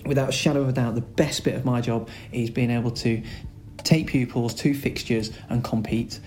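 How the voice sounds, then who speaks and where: A man with a Lancashire accent, a school's director of sport, answering podcast interview questions in a chatty, rambling way with many filler pauses. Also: medium pitch, fairly even intonation, a little mellow, faint music behind. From Lancashire, England.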